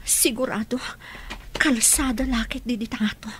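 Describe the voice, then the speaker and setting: Woman as a high-voiced, grumbling harsh aunt, noisy track. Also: steady tone, moderate pace, a bit bright, natural voice. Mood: alarmed muttering. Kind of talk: radio drama acting in Ilocano.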